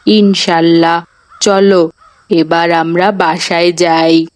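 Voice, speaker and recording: A woman voicing a boy among his friends in a children's Islamic cartoon, speaking with deliberate care. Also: fairly low pitch, flat delivery, no echo. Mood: apologetic.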